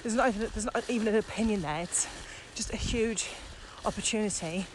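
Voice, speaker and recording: A woman's voice: medium pitch, a little fast. Enthusiastic woman chatting outdoors. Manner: enthusiastic, emphatic. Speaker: podcast co-host, virtual trainer. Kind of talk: casual chat. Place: outdoors, walking in the countryside. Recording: outdoor walking recording with ambient noise.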